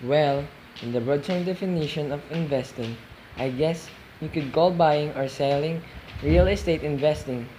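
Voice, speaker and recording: Male amateur as calm, lecturing investor in radio play interview, repeats points for emphasis, faint noise behind. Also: fairly high pitch, moderate pace, natural voice, low-quality recording. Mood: explaining. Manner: didactic, repeats phrases for emphasis. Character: calm, didactic male real estate investor being interviewed.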